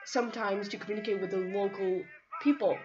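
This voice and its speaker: A woman's voice: medium pitch, steady tone, brisk. A woman from Pakistan, an Urdu speaker, answers interview questions in an informal, conversational way with frequent filler phrases. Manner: informal, conversational, peppered with "like" and "you know". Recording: dull lo-fi audio, music behind.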